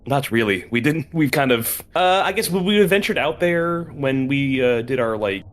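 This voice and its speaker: A man playing a tabletop role-playing game, casually describing his character's actions in halting phrases. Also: mid-range voice, lively intonation.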